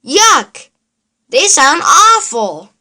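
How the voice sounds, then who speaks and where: A woman acts out a fuzzy storybook grouch, a grumpy male creature. He grumbles scornfully and slowly, drawing out his words in a bright, forceful tone. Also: high voice, huge pitch swings, heavily acted.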